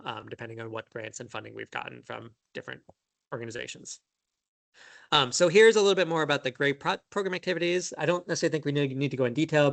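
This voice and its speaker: A man giving a conference talk on his software team's plans, in a loose conversational way with many fillers and restarts. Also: medium pitch. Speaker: software team presenter. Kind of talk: conference presentation.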